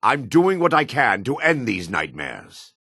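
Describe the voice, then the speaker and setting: World-weary dark elf man, fantasy game lines, solemn and theatrical, swings up and down a lot, really dry close sound. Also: medium pitch, wildly swooping pitch, gravelly, slightly rough, no room sound, full character voice. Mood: earnest, pleading. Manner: solemn, measured, theatrical delivery. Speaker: video game voice actor. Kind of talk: fantasy video game character dialogue.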